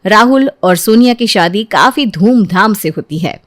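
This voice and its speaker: A woman's voice: mid-range voice, animated, measured pace. A woman reading a scripted family story as its narrator.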